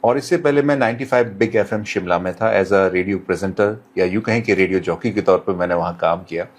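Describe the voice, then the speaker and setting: Deliberate, courteous male radio presenter. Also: deep voice. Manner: deliberate, courteous, formal phrasing with English words mixed in. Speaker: radio presenter, podcast guest. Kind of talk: measured advice-giving interview answers.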